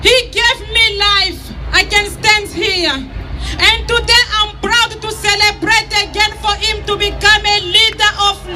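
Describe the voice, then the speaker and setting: A woman from the Democratic Republic of Congo, with a Congolese accent and English as a later language, giving a slow, emphatic speech at an outdoor rally. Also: very high voice, steady tone. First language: not English; English is her fourth language. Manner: slow, emphatic, leads call-and-response chants. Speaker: rally speaker. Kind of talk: impassioned speech to an outdoor crowd. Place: outdoor street demonstration. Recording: noisy outdoor rally with crowd. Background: cheering crowd and traffic.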